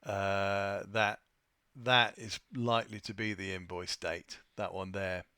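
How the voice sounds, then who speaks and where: A man presenting an unscripted software tutorial, thinking aloud with a hesitant delivery as he walks through his screen. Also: lowish voice, little pitch movement, slow, clear, resonant tone, no echo, studio quality. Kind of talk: unscripted screen-share walkthrough.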